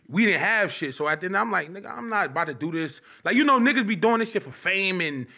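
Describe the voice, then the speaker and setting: Man in his late twenties comedian guest, highish voice, animated and rambling, casual interview chat. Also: quick pace. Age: 20s. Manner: animated, rambling, lots of filler phrases.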